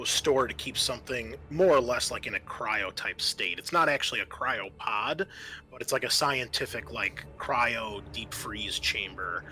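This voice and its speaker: A man runs a tabletop sci-fi horror game as game master. His voice is bright and forward at a medium pitch. He describes scenes in a halting, searching way, with music playing underneath. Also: rough recording.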